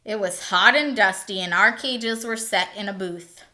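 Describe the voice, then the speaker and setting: A woman voicing a weary, scornful male hamster in a children's storybook, telling his tale in a grumbling, unhurried way. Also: mid-range voice, pushed and bright, natural voice. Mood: weary, indignant.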